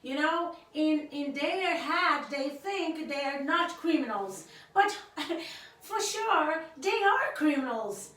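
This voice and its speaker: A woman's voice: high voice, lively intonation, slow, fairly bright tone. A woman from Africa whose first language is Creole, sharing her opinions in casual talk on a vlog. She speaks hesitantly, searching for words with many fillers and restarts. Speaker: vlogger on her husband's channel. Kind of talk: casual spoken opinion to camera. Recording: very echoey room, voice far from the mic.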